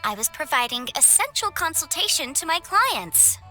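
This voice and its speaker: A woman's voice: sits high, sing-song, moderate pace, pushed and bright, soft background music, heavily acted. An actress voicing a playful, self-assured young woman legal advisor in a game cutscene.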